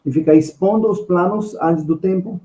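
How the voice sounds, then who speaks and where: Male podcast guest with an Israeli accent: mellow tone, emphatic conversational delivery, recorded in a roomy space. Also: high voice, normal speed. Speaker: guest finance expert. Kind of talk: conversational podcast storytelling and advice.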